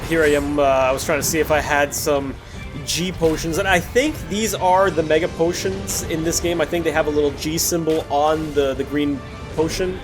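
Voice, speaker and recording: Higher-pitched man narrating gameplay over music. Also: steady tone, moderate pace. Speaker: host of a gameplay series. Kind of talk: casual post-recorded game commentary. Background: music under the voice.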